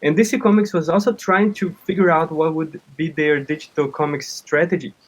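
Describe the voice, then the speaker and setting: A man in his thirties from Brazil with a Brazilian accent, a native Portuguese speaker, giving casual interview answers in a halting way. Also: a little high, steady tone. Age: 30s. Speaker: interview guest, comic artist and game developer.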